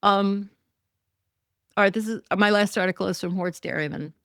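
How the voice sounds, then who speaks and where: A woman's voice: medium pitch, normal speed, fairly bright tone, no echo, clean studio sound. A woman hosting a solo podcast, talking through news in a chatty, wry way with many ums and self-corrections, her voice a bit worn. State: losing her voice. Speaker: podcast host. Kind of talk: casual solo commentary podcast.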